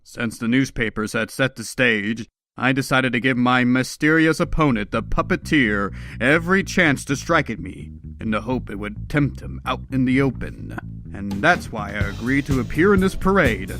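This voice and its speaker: A man's voice: medium pitch, animated, moderate pace, a bit bright, no echo, soft background music. A man playing a confident, earnest comic-book superhero recounting his exploits. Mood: determined. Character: confident adult male superhero, earnest and quick-acting. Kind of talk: comic book performance, first-person recounting.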